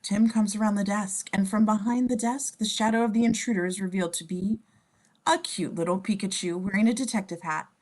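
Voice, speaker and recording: A woman's voice: medium pitch, fairly even intonation, brisk, darkish tone. A woman reading scene descriptions for a table read, informal and given to wry asides. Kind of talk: scripted narration in casual table read.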